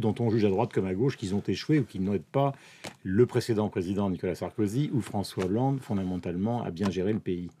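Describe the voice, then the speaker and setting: A male journalist talks fast in a low, somewhat husky voice. He presses his guest with pointed questions and interrupts often. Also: mellow. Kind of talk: political interview.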